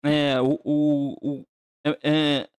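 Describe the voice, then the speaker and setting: A woman's voice: sits low, little pitch movement, takes it slowly, a little mellow, clean tone, no echo. Hesitant Brazilian woman, dry sound. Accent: Brazilian Portuguese. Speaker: reactor. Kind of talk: casual reaction commentary.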